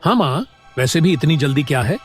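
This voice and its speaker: A man's voice: a little high, animated, a little fast, soft background music, lightly acted. A man voicing a lazy, whiny young village son in a cartoon moral story. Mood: lazy complaining.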